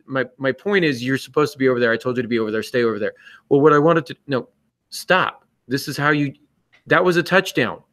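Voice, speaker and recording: A man's voice: medium pitch, fast talker, fairly bright tone, no echo, clean studio sound. A man co-hosting a podcast, speaking casually but emphatically and bluntly, acting out little exchanges as he gives advice. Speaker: podcast co-host and writer.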